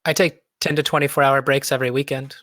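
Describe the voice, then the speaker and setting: A man's voice: medium pitch, flat delivery, quick pace, fairly bright tone, no room sound, studio quality. A man of thirty chatting casually on a livestream, laid-back with self-deprecating humor. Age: 30s. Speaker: guest, web developer.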